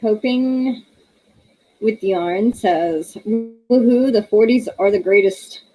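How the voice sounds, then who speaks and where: A woman's voice: mid-range voice, slow pace, warm tone, faint music behind, low-quality recording. A woman, hosting a casual livestream, chatty and laughing often as she reads viewer comments aloud. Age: 30s. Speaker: livestream host.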